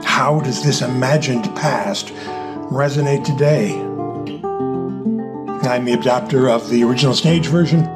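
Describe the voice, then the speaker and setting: A man's voice: medium pitch, unhurried, pauses often. A man, a playwright, reading a scripted introduction to his play. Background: plucked guitar music.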